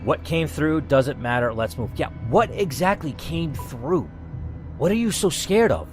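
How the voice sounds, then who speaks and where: A man's voice: on the high side, big pitch swings, unhurried, over music, pitched above the performer's own voice. A man voicing an impatient, blunt male logger stranded in a horror game story. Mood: impatient, alarmed. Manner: blunt, urgent.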